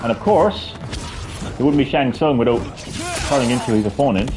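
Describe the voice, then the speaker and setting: A man's voice: medium pitch, very animated, fairly quick. A man, chatting casually while he plays a fighting game, rambling with lots of fillers and restarts. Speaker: gaming streamer. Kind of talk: casual gameplay commentary.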